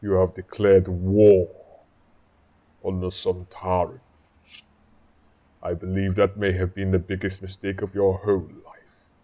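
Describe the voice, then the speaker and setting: A very deep-voiced alien war general, slow and heavy in delivery. He is pompous and vengeful, declaiming threats like a proud soldier in a sci-fi audio drama. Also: completely flat delivery, mellow. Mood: cold threat.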